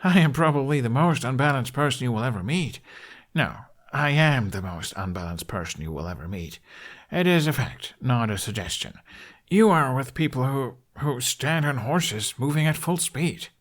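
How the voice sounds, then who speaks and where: An earnest teenage boy from a fantasy audiobook, acted out by a man. He sounds baffled and argues back hesitantly. His pitch jumps around in a very lively way. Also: normal speed, natural voice. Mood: insistent, self-deprecating. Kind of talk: audiobook character acting.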